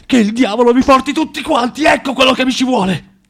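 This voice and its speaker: An actor playing a bitter, proud, self-loathing recluse in a theatrical radio drama, with a volatile, declamatory and halting delivery. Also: male voice, very high-pitched, creaky, heavily acted. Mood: furious ranting.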